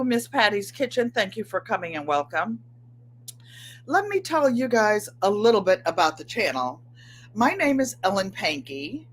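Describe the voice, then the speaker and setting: A woman in her seventies with a New England accent, slightly nasal and twangy, chatting excitedly to viewers as host of her livestream from a room at home. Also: mid-range voice, slight background noise. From Connecticut, USA. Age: 70s. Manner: chatty, excitable, gestures with hands. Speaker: channel host. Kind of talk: casual livestream chat.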